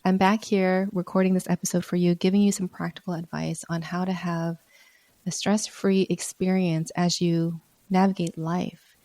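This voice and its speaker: A woman hosts her own podcast in an earnest, encouraging manner, her low voice mellow and a little hoarse from a sore throat. The sound is dry and close, with no room echo. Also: level tone, normal speed. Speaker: podcast host. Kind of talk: solo podcast talk.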